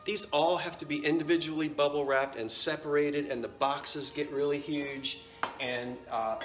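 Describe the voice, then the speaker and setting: A man's voice: a little high, fairly even intonation, moderate pace, noisy background. A man with a New Jersey accent, casually showing and describing antique dishes in a chatty, self-correcting way. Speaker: antiques seller. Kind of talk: casual show-and-tell vlog.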